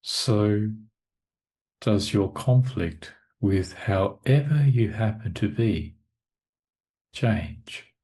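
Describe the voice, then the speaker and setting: Very slow, low-voiced male meditation guide. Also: animated, dark tone. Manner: measured, with long pauses between short phrases. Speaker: meditation teacher.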